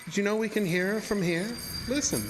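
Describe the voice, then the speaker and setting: An actor playing a politely menacing carnival illusionist in a horror audio drama, speaking in a formal, measured, mock-courteous way. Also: male voice, sits high, music in the background, natural voice. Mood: quietly menacing. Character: sinister, politely menacing male carnival dark-ride illusionist.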